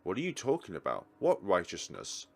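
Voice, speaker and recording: A bewildered young detective played by a man reading a game aloud fires out urgent questions and defiant retorts. The delivery is exclamatory and swings widely in pitch. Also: huge pitch swings, normal speed, vocal fry, exaggerated voice. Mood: agitated, defiant.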